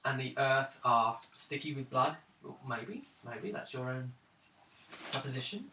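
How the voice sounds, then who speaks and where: A man acting as game master, narrating a tabletop roleplaying game in a halting, thinking-aloud way. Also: mid-range voice, animated, sharp tone. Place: indoors, around a gaming table. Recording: roomy, distant mic, hissy background noise.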